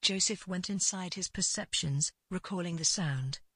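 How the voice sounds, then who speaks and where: A woman narrates a piece of literary fiction with measured, deliberate phrasing. Her voice is slightly low and noticeably husky. The recording is dry, with almost no room sound. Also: bright, forceful tone, dry recording.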